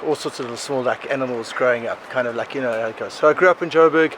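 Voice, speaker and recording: A South African man in his forties, a podcast guest, telling his life story in a rambling, animated way, often laughing. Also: medium pitch, quick pace. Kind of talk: casual conversational storytelling. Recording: outdoor recording with clear background noise.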